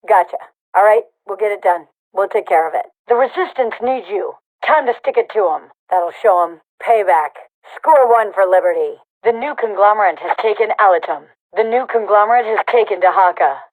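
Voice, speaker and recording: An actress playing a brisk female rebel army commander in a video game, giving clipped, authoritative battle announcements through a radio filter. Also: medium pitch. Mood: businesslike. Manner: clipped, authoritative military delivery. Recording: tinny, band-limited radio comms sound.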